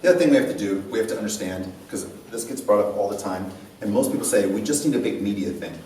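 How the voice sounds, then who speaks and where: A man co-hosting a talk about dance before a live audience, animated and laughing often, repeating his words. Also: on the low side, quick pace, very echoey, recorded from a distance, slight background noise, poor audio. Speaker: dance instructor and show co-host. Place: event room with a live audience.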